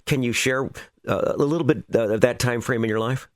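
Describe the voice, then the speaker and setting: A man hosting a podcast interview, reacting with soft sympathetic interjections and asking halting questions. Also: medium pitch. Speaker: podcast host. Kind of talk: casual interview.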